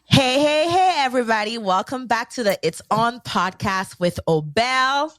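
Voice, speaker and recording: A woman's voice: a little high, lively intonation, unhurried, fairly bright tone, no echo. Bubbly woman podcast host, dry sound. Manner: bubbly, enthusiastic, addresses listeners. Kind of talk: casual podcast hosting.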